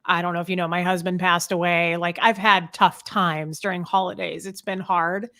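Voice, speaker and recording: Brief: woman host, lower voice, chatty and candid, telling personal stories. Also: flat delivery, moderate pace, bright, forceful tone. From American Midwest. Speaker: podcast host. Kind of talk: casual conversation.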